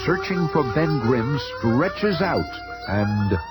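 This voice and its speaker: A man's voice: a little high, sing-song, slow, loud music behind the voice. A man narrating a 1970s superhero radio serial in a dramatic, scripted style. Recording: noisy 1970s radio recording.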